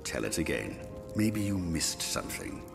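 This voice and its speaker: Stern fantasy official, gravelly fry, brooding, deliberate, video game drama, clear music underneath. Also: male voice, very deep voice, very animated, heavily acted. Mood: pressing. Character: stern, shrewd male official in a fantasy city. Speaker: voice actor. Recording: music behind the voice.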